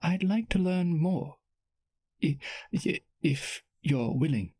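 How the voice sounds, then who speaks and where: A man narrating a story and voicing a poised young princess with an exotic foreign accent who speaks slowly and formally. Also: fairly high pitch, darkish tone, slightly rough, no echo, studio quality, voice pushed up. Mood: thoughtful. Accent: exotic foreign lilt. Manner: slow, careful, formal speech.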